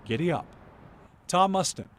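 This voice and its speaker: A man's voice: high-pitched, expressive, normal speed, fairly bright tone, slight background noise. A man narrating a scripted TV news feature as its reporter, telling it in a playful, dramatic way.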